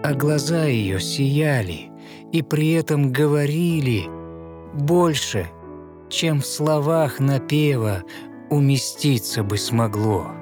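A man narrating a fairy tale in verse, with a lyrical, rhythmic and expressive scripted delivery. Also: mid-range voice, takes it slowly, music in the background.

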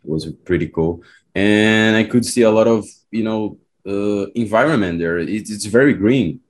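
A man with a very low voice hosts a casual podcast. He talks loosely and with a lot of enthusiasm and keeps tossing in friendly slang. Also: moderate pace. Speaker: podcast host. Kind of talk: casual conversation.

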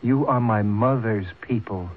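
A man's voice: lowish voice, lightly acted. An actor playing a proud, earnest young man torn between two peoples, speaking formally in an old-time radio drama. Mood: respectful. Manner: formal, deliberate phrasing. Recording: tinny, hissy old radio broadcast.

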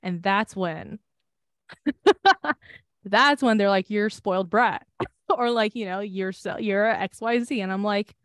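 A young woman co-hosting a casual podcast, talking in long, loose, candid stretches. She swears often and laughs easily. Her pitch sits in the middle for a woman, and the recording is dry and close. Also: slow, long pauses, no echo. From United States. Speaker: podcast co-host. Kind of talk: casual chat.

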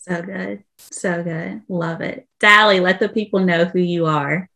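A woman's voice: lowish voice, steady tone, measured pace, creaky, pushed and bright. A young woman hosting a casual podcast, upbeat and encouraging with her guests. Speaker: podcast host.

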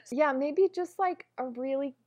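Lively higher-voiced woman podcast host. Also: moderate pace. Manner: animated storyteller, laughs often. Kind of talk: casual podcast chat.

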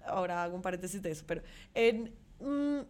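A woman's voice: lowish voice, animated, fairly quick, a little mellow. Colombian woman chatting casually, thinking aloud. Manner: informal, hesitant, repeats words while thinking. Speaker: podcast co-host. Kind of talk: casual chat about pop culture.

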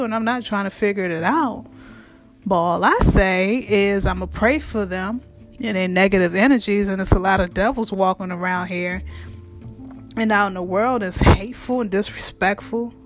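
Raspy, blunt woman hosting her show. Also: mid-range voice. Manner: blunt, frank, swears freely. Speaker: podcast host. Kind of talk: casual monologue.

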